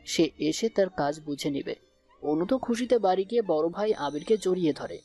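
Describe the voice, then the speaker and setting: A woman's voice: on the low side, animated, moderate pace, mellow, breathy edge. A woman narrating a scripted romantic audio story. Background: faint background music.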